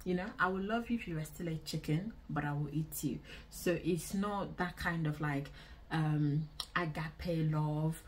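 A woman in her twenties with a Nigerian accent, chatting casually to camera in an indoor vlog, expressive and repeating phrases for emphasis. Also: low voice, a little slow, a little hiss or hum. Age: 20s. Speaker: vlogger. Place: small indoor room.

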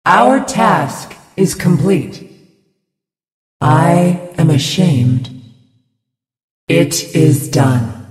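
Slow, solemn spirit healer in reverb. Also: female voice, on the low side, expressive, darkish tone, recorded from a distance. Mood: serene, devout. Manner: measured, ceremonious, pious. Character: serene, devout female floating spirit healer, solemn and judging. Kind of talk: video game character voice lines. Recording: reverberant hall effect, music behind.

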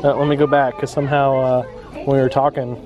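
A man filming a casual shopping vlog on a handheld camera, chatting along and reading out prices. His voice sits at an ordinary pitch. Store shoppers murmur clearly in the background. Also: little pitch movement, fast talker, lo-fi sound, crowd noise. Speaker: vlogger filming a shopping trip. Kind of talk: casual chat. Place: inside a quiet clothing store.

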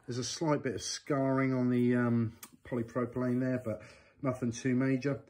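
A man's voice: mid-range voice, normal speed. A man in his forties talking casually and knowledgeably as a hi-fi reviewer, filmed in his living room. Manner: knowledgeable, chatty, expressive hand gestures.

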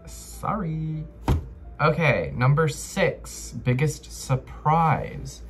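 Young American male book vlogger, unhurried and mid-pitched, casual and slangy, faint music underneath. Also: animated. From Los Angeles, United States. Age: young adult. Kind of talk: casual chat to camera. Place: small bedroom, indoors.

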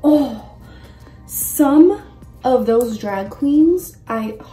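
A young woman in her mid twenties with a mellow, fairly high voice, chatting in a slangy, exclamatory way through a makeup vlog. She is recorded a little away from the mic, with soft music behind her. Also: lively intonation, drawn-out words. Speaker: makeup vlogger. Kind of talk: casual chat while doing a makeup tutorial. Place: bedroom-like room at home. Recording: roomy echo, distant mic, faint music.

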